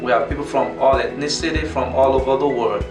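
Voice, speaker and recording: A man's voice: medium pitch, steady tone, brisk. A man from Nigeria gives an earnest church testimonial. He sounds distant in a roomy space, and music plays behind him. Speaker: church member.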